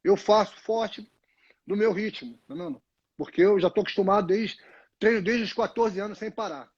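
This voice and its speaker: A man's voice: sits high, moderate pace, breathy edge. An older man from Brazil, telling long stories in a casual live interview, full of restarts and "né?" tags, speaking into a phone on a tripod. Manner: rambling storyteller, many restarts and "né?" tags. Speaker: guest, retired fighter. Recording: dull lo-fi phone audio.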